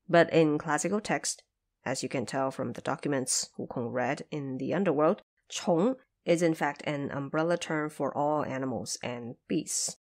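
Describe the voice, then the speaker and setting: A woman hosting and narrating a scripted explainer in a didactic, carefully enunciated manner. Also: deep voice. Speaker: host and translator.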